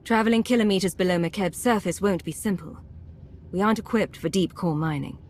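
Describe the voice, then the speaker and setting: A woman voicing a resolute female agent who commands a covert team in a video game, speaking with measured authority. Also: fairly low pitch, a little mellow, a little hiss or hum, natural voice. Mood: firm, focused. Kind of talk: scripted video game acting.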